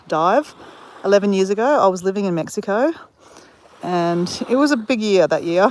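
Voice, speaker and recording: An Australian woman chatting casually while walking on a beach, telling a rambling story full of self-corrections. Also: medium pitch. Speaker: vlogger. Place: outdoors on a beach.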